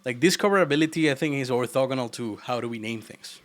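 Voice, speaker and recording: A man's voice: medium pitch, fast talker. A man from Argentina with an Argentine Spanish accent, chatting casually and teasingly with his live chat while he codes. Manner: playful and teasing, laughs often. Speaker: programming livestreamer.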